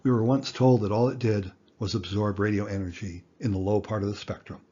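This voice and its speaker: A man in his sixties giving an educational lecture in plain words, with a deliberate, folksy manner. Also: on the low side, steady tone, dark tone, highs cut off. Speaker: instructor.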